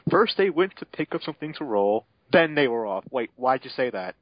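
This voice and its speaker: A man's voice: mid-range voice, big pitch swings, brisk, dull audio. A man doing a mocking dramatic reading as narrator, sarcastic, often stumbling and breaking off to comment. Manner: swears. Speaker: narrator and co-reader.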